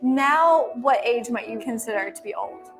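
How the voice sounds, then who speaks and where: A woman interviewing people on camera, giving friendly, clear directions. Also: fairly high pitch, moderate pace. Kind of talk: video interview prompts. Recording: video clip with music behind.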